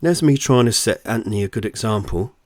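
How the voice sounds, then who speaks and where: A man's voice: on the low side, fairly even intonation, a little fast, no echo, clean studio sound, lightly acted. A man voicing a crude, menacing working-class husband in an audiobook, with a sneering, taunting way of talking. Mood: mocking, accusing.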